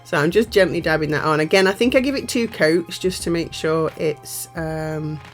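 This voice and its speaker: Woman, lowish voice, stuffy nasal tone from a cold, rambling casual voiceover, faint music under it. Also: moderate pace. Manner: chatty, rambling, self-correcting. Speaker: nail art channel host.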